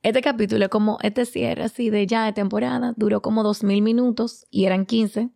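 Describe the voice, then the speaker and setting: A woman hosting a podcast, chatting in a lively, playful and affectionate way. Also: medium pitch, brisk, vocal fry. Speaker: podcast host. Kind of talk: casual podcast chat.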